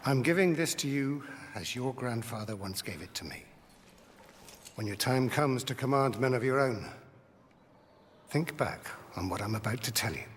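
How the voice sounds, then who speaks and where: An actor playing a stern older Roman general speaking to his son in a measured, grave, fatherly way in a game cutscene. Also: medium pitch, lively intonation, a little hiss or hum, natural voice. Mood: solemn. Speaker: father of a young soldier.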